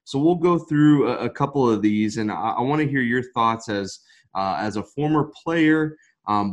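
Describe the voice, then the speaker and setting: Low-voiced upbeat sports podcast host. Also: male voice. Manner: upbeat, steers the talk with questions. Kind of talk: casual sports talk.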